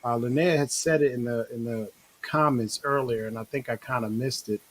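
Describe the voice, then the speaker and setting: A middle-aged man hosting a casual online talk show, relaxed and rambling with many restarts. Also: medium pitch, moderate pace. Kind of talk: casual live-stream talk.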